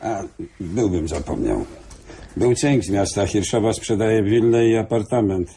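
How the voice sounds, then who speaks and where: A streetwise, deferential police officer in a radio drama. His dark, fairly low voice moves in lively swings, with a faint noise behind it. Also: a little slow. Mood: sceptical, sly. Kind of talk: radio play dialogue.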